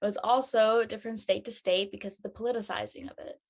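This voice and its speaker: Actress, fairly high-voiced, conversational woman. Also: steady tone, fast. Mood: reflective. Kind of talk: live audio drama table read.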